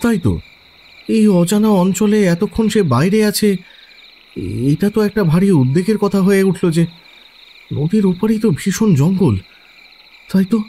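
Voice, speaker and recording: A man's voice: sits high, measured pace, long pauses, mellow. A man playing an anxious, earnest teacher responsible for schoolboys in an audio story. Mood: uneasy. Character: worried, responsible male teacher guarding schoolboys on a trip.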